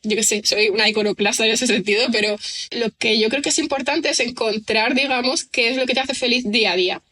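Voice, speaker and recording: Woman, bright clear voice, a little high, interview guest musing with many restarts. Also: a little fast. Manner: thinks aloud with many fillers and restarts, laughs easily. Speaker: guest, writer and screenwriter. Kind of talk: reflective conversational interview.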